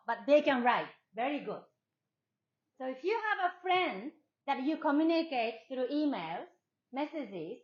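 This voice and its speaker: A Japanese woman teaching a class in English with a strong Japanese accent, asking questions and often repeating herself. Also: high voice. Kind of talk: classroom talk with students. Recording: echoey room, dull lo-fi sound.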